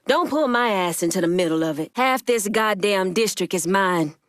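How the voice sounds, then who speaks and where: A woman's voice: medium pitch, lively intonation, moderate pace, no room sound, clean studio sound, natural voice. An actress playing a proud, shrewd woman crime boss in a video game, speaking firmly and bluntly. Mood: angry, warning.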